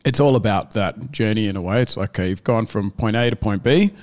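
A man's voice: fairly low pitch, fairly quick, darkish tone. A man co-hosting a casual gym podcast, rambling and often restarting as he searches for words. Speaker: gym owner and podcast co-host.

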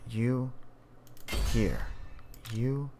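A man's voice: mid-range voice, very animated, drawn-out words. A man giving casual gameplay commentary as a video host, often in short, clipped commands to his units. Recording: clear background noise under voice.

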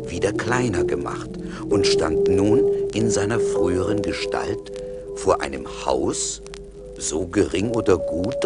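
A man narrating a scripted fairy tale as a measured, dramatic storyteller. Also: deep voice. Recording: 1980s vinyl radio play with music.

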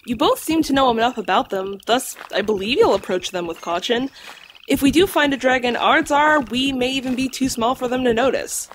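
A woman's voice: sits high, animated, a little hiss or hum, rough recording. A woman voicing a sensible female talking dog in an audio drama, speaking in formal, precise sentences. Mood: instructive. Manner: formal, precise, measured wording.

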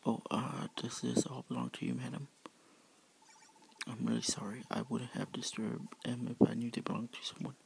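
A man's voice: medium pitch, little pitch movement, moderate pace, gravelly, a little hiss or hum, rough recording. A man whispering the part of a polite, brave little boy creature in a read-aloud story. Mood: apologetic.

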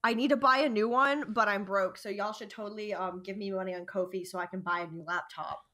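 A young adult woman guesting on a casual podcast, animated and joking, with a voice she calls low for a woman. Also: fairly quick.

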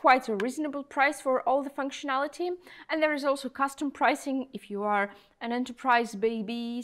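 A woman's voice: fairly high pitch, a bit bright. A young woman giving a chatty, enthusiastic product review to camera, with emphatic delivery. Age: young adult. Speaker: presenter of software review videos. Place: small indoor studio room at a desk. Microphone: lapel mic.

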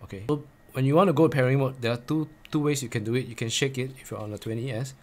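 A man's voice: mid-range voice, steady tone, fast. A man in his forties presenting a step-by-step tutorial in a plain, instructional way, repeating key points for emphasis. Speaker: presenter of motorcycle gear tips.

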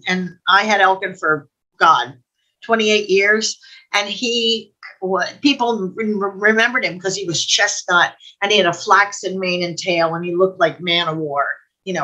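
A woman's voice: mid-range voice, normal speed, pushed and bright, low-quality recording. An older woman telling anecdotes casually on a video call, chatty and digressive. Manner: chatty, digressive, quotes people as she tells stories. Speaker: guest storyteller. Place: home room, indoors.

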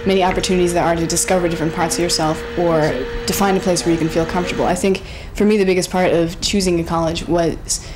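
A woman's voice: lowish voice, fairly even intonation, quick pace, few pauses. A young woman, a college student, giving interview answers. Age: young adult. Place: room with brick wall.

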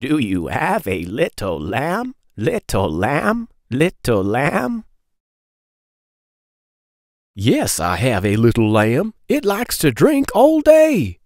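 A man in his thirties hosting a children's read-aloud, speaking in simple, clearly phrased sentences to young listeners. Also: on the low side, darkish tone, no room sound, clean studio sound.

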